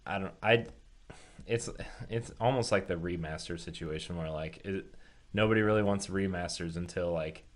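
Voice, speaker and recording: A man's voice: low-pitched, normal speed. A man hosting a casual gaming podcast, talking informally and reasoning things through with examples. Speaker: podcast host.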